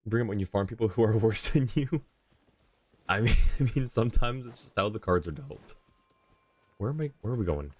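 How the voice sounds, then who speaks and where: A man's voice: fairly low pitch, expressive, brisk, a little mellow. A man streaming a game live, chatting casually and rambling as he thinks aloud. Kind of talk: casual livestream chat.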